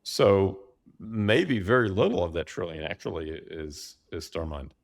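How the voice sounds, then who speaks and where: A man in a casual analytical discussion, measured and tentative as he reasons through figures aloud. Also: low-pitched, expressive, vocal fry.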